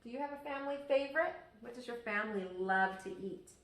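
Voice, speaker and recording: A woman teacher reading a children's story aloud expressively, pausing to ask her listeners questions. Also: on the high side, a bit bright. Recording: echoey room, distant microphone.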